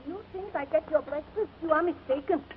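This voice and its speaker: An actress playing a blunt, grumpy but kind-hearted foreign cook who speaks clipped broken English in a 1940s radio comedy. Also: female voice, high-pitched, brisk, a little hiss or hum, lightly acted. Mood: curt, grumpy. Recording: tinny 1940s radio recording.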